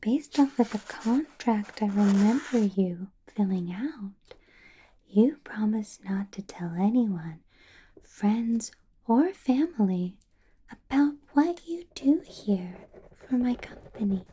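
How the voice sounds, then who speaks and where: A woman playing an ancient, possessive vampire boss in an ASMR roleplay, whispering softly with slow, deliberate, teasing menace. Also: mid-range voice, animated, slight background noise. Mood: probing, coolly stern. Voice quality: soft breathy whisper. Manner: slow, deliberate, teasing questions with lingering pauses. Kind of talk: ASMR roleplay, personal attention.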